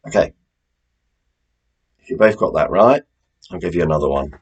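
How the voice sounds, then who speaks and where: A man's voice: sits low, animated, moderate pace, breathy edge, dull audio. A British man presenting a quiz in a chatty, informal way, as the host reading out questions.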